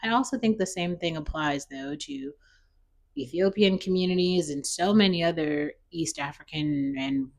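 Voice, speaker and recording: A woman's voice: lowish voice, animated, moderate pace, a little mellow. A woman with an American accent giving casual, opinionated commentary in a rambling, conversational way, as a vlogger talking off the cuff.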